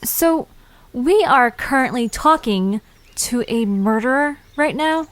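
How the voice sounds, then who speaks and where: A woman's voice: sits high, expressive, slow, no room sound. A woman playing a nervous, curious young woman in an audio drama, flustered and stammering as she talks. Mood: puzzled, uneasy.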